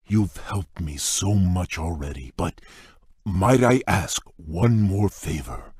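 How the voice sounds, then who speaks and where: An actor playing a large, shy, polite beast prince in a video game, speaking in a courteous, formal, earnest way with a husky voice. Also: male voice, darkish tone, dry recording, clean studio sound, heavily acted. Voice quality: deep husky voice. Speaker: game character. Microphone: dry close studio mic.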